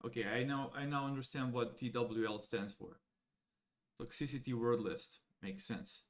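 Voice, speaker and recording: A young man from Serbia, a native Serbian speaker, thinking aloud as he walks viewers through code, halting and casual. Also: mid-range voice, steady tone. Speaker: AI educator. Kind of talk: live coding walkthrough.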